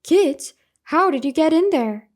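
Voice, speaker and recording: A big hungry tiger from a storybook, acted out by a woman reader. It growls and coaxes slyly, drawing its words out at a slow, scheming pace. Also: high-pitched, animated, a little mellow. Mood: sly, coaxing. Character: big hungry sly tiger, menacing and wheedling. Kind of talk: bedtime folktale storybook reading.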